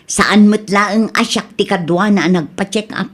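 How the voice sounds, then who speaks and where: A woman's voice: mid-range voice, a little slow, close to an everyday voice. A woman with an Ilocano accent playing a grumpy, stingy married woman in a radio drama, brusque, whining and defensive. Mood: irritated, dismissive. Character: grumpy, tight-fisted married woman, dismissive of others.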